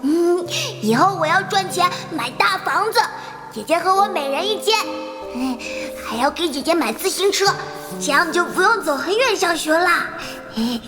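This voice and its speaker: A voice actor playing a young, spoiled and needy little brother in an animated drama, whining and pleading like a child. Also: very high voice, moderate pace. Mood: innocent, cheerful. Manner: whiny, pleading child talk. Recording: voice over loud background music.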